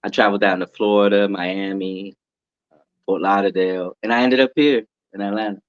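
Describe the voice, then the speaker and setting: A man's voice: fairly low pitch, fairly even intonation, moderate pace, dry recording, dull audio. A man from the US East Coast, a podcast guest, chatting casually and laughing easily with many fillers. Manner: laid-back, laughs easily, lots of fillers. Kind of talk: casual interview chat.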